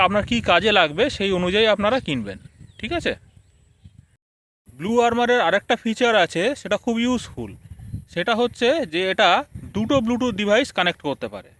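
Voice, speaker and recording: A man's voice: high voice, fairly bright tone. A man giving a casual, explanatory product review, speaking conversationally to his viewers.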